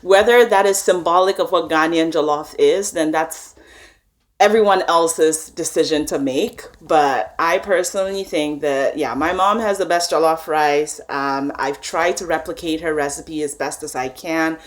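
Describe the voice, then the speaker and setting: Low-pitched woman from Canada, relaxed and frank, podcast guest. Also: measured pace. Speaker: chef guest. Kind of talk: casual interview chat.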